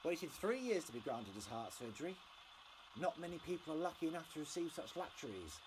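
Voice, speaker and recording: An actor playing a menacing, smugly polite government enforcer in an audio drama, speaking formally and condescendingly. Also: male voice, a little high, very animated, brisk, background noise. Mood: cold threat. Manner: formal, condescending, veiled threats.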